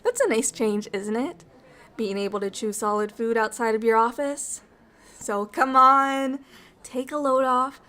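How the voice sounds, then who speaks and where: Casting: woman as friendly alien diplomat for ASMR roleplay, somewhat high voice, bubbly, talkative, fond and eager to please. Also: unhurried. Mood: friendly, caring. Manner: bubbly, chatty, apologetic, excitable. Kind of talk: ASMR roleplay, chatty and intimate.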